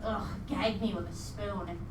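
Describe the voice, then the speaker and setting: A woman's voice: medium pitch, fairly even intonation, measured pace, lightly acted. A woman acting a vengeful, gleefully demonic female ghost in a live radio play, with a theatrical, taunting delivery full of wordplay. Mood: indignant. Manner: theatrical, taunting, pun-heavy. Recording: echoey live venue, distant mic, noisy.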